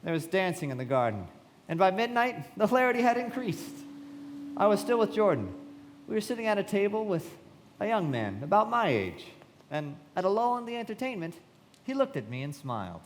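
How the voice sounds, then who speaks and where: An actor playing a reflective, polite young man who narrates a radio play in measured storytelling. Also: high voice, sing-song, slight background noise. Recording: reverberant live hall.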